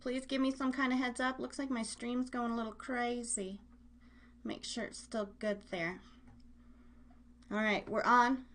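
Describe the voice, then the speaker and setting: A woman hosting a live internet radio show in casual, scattered chat, speaking straight to her listeners. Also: fairly high pitch. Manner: chatty, scattered, addresses listeners directly. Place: indoors at home.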